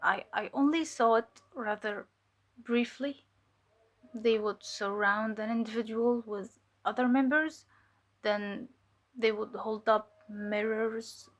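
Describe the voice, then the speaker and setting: A woman playing a weary, sharp undercover cult infiltrator giving hesitant, measured testimony in an audio drama. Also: on the high side, steady tone. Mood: shaken.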